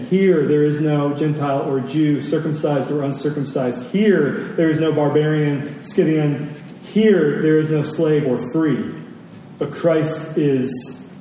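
A man preaching a sermon as a pastor, earnest and emphatic, pausing and repeating phrases for weight. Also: dark tone. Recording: echoey hall, distant mic, faint rumbling noise.

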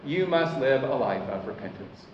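A man preaching a sermon as pastor, earnest, slowing down to stress his key points. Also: medium pitch, lively intonation, a little hiss or hum. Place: church hall. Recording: echoey hall, mic at a distance, dull sound.

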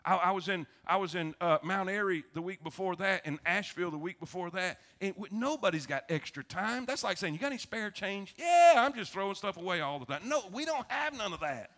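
Male preacher, Southern American, high-pitched and forceful, folksy sermon delivery with repeated phrases. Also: fairly quick, hardly any pauses. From South Florida, United States. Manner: folksy, animated, repeats phrases, calls for amens. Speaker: pastor. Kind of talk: sermon, folksy storytelling to a congregation.

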